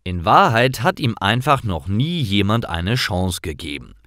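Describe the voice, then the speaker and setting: A man reading scripted stories for language learners in careful, clearly separated short phrases. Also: fairly low pitch, very animated, unhurried, fairly bright tone, no echo, studio quality. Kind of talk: scripted story narration for German learners.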